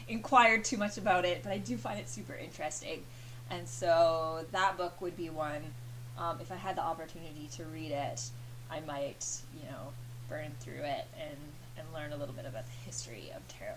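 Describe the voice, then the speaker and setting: Woman vlogger, casual chat, filler words, light laughs, audible background hum. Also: low-pitched. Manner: chatty, many fillers and small laughs.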